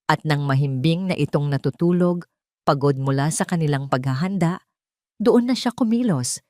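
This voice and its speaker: A woman's voice: low-pitched, moderate pace, darkish tone, no room sound, clean studio sound. A woman reading dramatic scripted narration as the storyteller of an audio drama.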